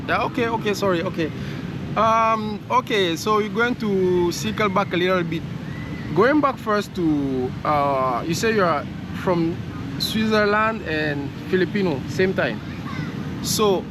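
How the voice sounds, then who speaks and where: A man's voice: sits high, measured pace. A man hosting a casual vlog interview, rephrasing his questions as he goes. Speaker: host and interviewer. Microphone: handheld camera held by him. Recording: loud outdoor traffic noise.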